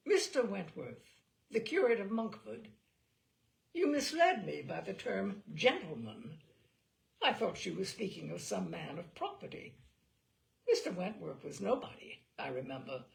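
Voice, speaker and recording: A woman's voice: mid-range voice, vocal fry, heavily acted. A woman voicing a vain, snobbish older male baronet with a pompous, dismissive manner in an audiobook of a classic novel.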